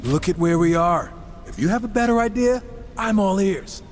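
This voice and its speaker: Cocky, lively horseman in cavernous reverb. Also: sits high, moderate pace, slight background noise. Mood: sarcastic. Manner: sarcastic, flippant. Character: wisecracking, irreverent male gunslinger horseman, cocky and impatient. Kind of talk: video game cutscene acting. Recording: long hall reverb, music behind.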